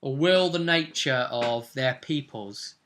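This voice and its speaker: A man's voice: medium pitch, slow, a bit bright, slight background noise. A man acting as game master, recapping a tabletop fantasy session in a conversational, storytelling way. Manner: storytelling, acting out quoted dialogue.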